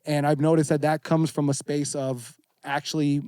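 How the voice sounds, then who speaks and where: A man's voice: on the high side, level tone, normal speed, dark tone, clear, resonant tone, no echo, studio quality. A man in his thirties talking candidly and earnestly as a podcast guest, reflective and full of casual slang. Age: 30s. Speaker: podcast guest, fitness competitor.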